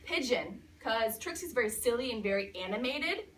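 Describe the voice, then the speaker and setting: A woman reading a picture book aloud to a young child in an expressive, dramatic way, pausing to chat and ask questions. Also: a little high, far from the mic, a little hiss or hum. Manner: expressive, with dramatic gasps and emphasis.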